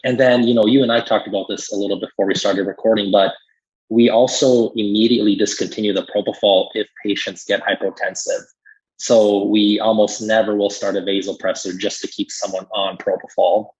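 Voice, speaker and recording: A man's voice: fairly low pitch, steady tone, brisk, darkish tone, slightly rough. A man explaining things conversationally as a pharmacist guest in an interview, emphatic when he agrees, on slightly muffled audio. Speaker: critical care pharmacist guest.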